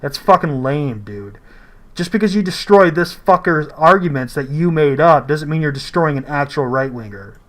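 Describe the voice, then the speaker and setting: A man with a voice pitched a little above average gives casual reaction commentary. He talks off the cuff and is blunt and argumentative, with swearing mixed in. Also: lively intonation, normal speed, fairly bright tone.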